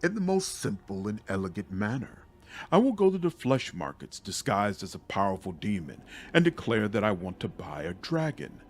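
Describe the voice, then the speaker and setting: Fantasy game mentor, male and otherworldly, acted by a man: low husky voice, measured, formal, calculating. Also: very animated. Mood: calm, calculating.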